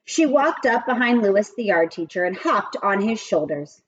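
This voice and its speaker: A woman reading a children's story aloud with expressive, deliberate and emphatic phrasing. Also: mid-range voice, dull audio.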